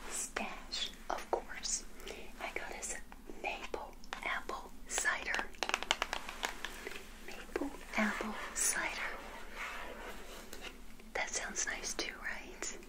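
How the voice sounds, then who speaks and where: Friendly witch, played by an older woman, ASMR roleplay: soft whisper, slow delivery, gentle and eager. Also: low-quality recording. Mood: welcoming. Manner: gentle, unhurried, repeats phrases softly. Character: friendly, eager, naive lonely witch serving tea.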